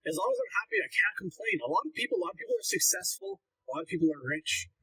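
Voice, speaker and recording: Young male streamer with a husky, slightly high voice. Blunt and rambling, working through chat questions one by one. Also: wildly swooping pitch, racing, bright, forceful tone, poor audio. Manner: blunt, rambling, heavy use of "like". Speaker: livestreamer answering chat. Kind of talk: casual livestream Q&A.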